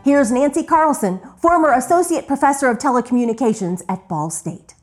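A woman's voice: high voice, lively intonation, a little fast, faint music behind. A woman hosting a radio retrospective with scripted narration. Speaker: host.

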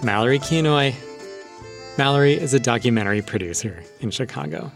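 A man's voice: mid-range voice, lively intonation, brisk, gravelly, over music. A man hosting a public radio show, speaking conversationally as an interviewer.

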